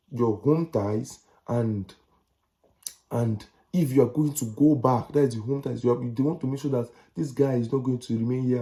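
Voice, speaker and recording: A man's voice: mid-range voice, dark tone. A young Nigerian man with a Nigerian accent, giving casual advice in a chatty, repetitive way with slangy asides. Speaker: vlogger.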